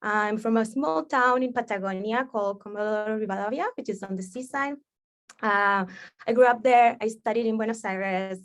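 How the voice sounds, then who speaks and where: A woman's voice: fairly high pitch, normal speed. A woman from Argentina, a student panelist introducing herself over a video call in an upbeat, friendly way.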